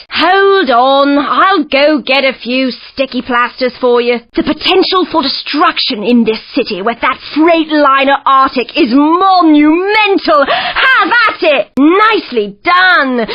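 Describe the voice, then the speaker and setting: A woman voicing a perky, excitable British race announcer in a video game, chirpy and exclamatory. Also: high voice. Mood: helpful. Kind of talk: scripted video game voice lines. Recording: muffled lo-fi game audio.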